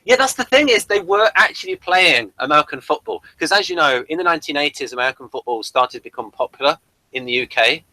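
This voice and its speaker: Bright-voiced man, rambling review host. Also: a little high, expressive, fast talker, no room sound, low-quality recording. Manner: rambling, stop-start, thinking aloud. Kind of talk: casual unscripted chat and commentary.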